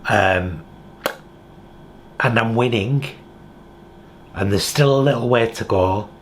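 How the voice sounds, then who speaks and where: Deep british guy vlogging from his kitchen, slow and heartfelt. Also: slight background noise. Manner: reflective, heartfelt. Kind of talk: casual vlog monologue. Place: home kitchen.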